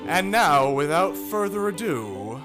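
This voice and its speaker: High-pitched, animated male radio show host. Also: slow, music in the background. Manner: grandiose, showmanlike. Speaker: host, announcer. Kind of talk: theatrical old-time radio announcing.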